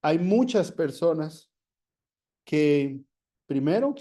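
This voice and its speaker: A man whose first language is Spanish, a guest mentor speaking to pastors in a blend of Spanish and Portuguese, slowly searching for his words. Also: on the high side, animated, mellow. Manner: slow and deliberate, searching for words.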